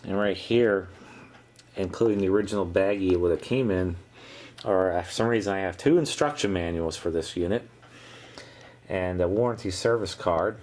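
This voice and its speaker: Male hobbyist presenter, low-pitched, halting and matter-of-fact, casual narration over noticeable background noise. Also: a little mellow. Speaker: vintage electronics hobbyist presenter. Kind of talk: casual unscripted show-and-tell narration.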